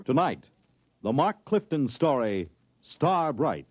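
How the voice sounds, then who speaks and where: A man's voice: medium pitch, very animated, slow. A male radio announcer with a formal, declamatory 1950s broadcast delivery. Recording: dull lo-fi 1950s radio with music.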